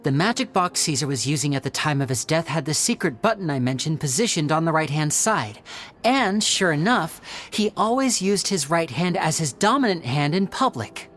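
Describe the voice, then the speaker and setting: A woman playing a poised, self-assured young detective in a video game, explaining things in a measured, theatrical way. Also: low-pitched, big pitch swings, fairly bright tone. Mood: deductive.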